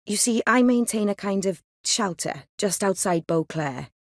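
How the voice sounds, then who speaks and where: A woman's voice: medium pitch, animated, measured pace, dry recording, clean studio sound, close to an everyday voice. A woman playing an ancient, aristocratic female vampire in a video game, with a refined, cool, worldly and dryly condescending manner. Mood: composed, polite. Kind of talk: video game dialogue acting.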